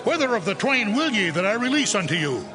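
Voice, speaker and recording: A man's voice: high voice, sing-song, quick pace. An actor playing Pilate, a male Roman governor, questioning in formal old-fashioned speech in a dramatized scripture reading with music behind. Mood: pleading. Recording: audio drama with music bed.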